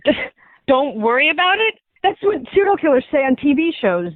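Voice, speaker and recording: A woman's voice: high voice, big pitch swings, brisk, dry recording. A woman calling in to a radio show, having an emotional conversation over the telephone. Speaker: caller, wife on a radio prank call. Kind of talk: emotional phone confrontation. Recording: dry, muffled phone line sound.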